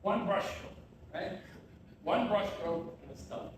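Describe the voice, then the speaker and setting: A high-pitched man acting the part of a blocked, tormented painter on stage. He is evasive and dry, with a needling, insistent edge. The recording is very echoey and distant, with clear background noise. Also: measured pace. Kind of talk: live one-act stage play acting. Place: tavern theater venue. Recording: echoey room from far off, noisy.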